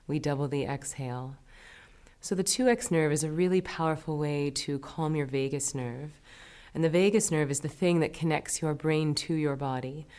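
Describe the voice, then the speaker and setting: A low-voiced woman leads a guided meditation. She gives her instructions gently and soothingly, taking her time with each phrase.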